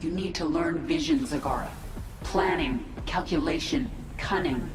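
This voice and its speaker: A woman's voice: sits low, measured pace. Echoing, ruthless swarm queen. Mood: cold, determined. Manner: imperious, menacing. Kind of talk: scripted sci-fi game voice acting. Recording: echoey, distant, music underneath.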